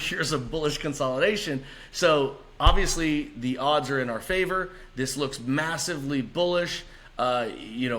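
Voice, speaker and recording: A man's voice: mid-range voice, a bit bright. A man presenting informal market chart commentary as a channel host, upbeat and emphatic, repeating key words for stress. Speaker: host of a stock trading analysis channel.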